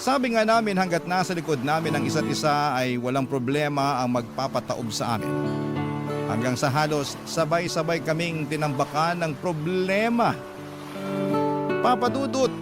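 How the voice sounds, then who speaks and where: An actor narrating as a young man confiding his life story in a radio drama letter. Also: on the high side. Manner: earnest, confiding.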